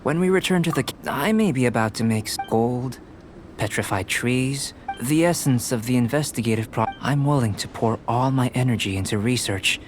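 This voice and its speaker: An actor playing a calm, politely detached young male researcher in a video game, speaking in a measured, precise, formal way. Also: medium pitch, soft background music, natural voice. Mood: intrigued.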